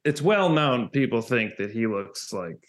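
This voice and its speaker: A man's voice: medium pitch, expressive. A man co-hosting a podcast, teasing the other host in casual chat. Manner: teasing, quick to laugh.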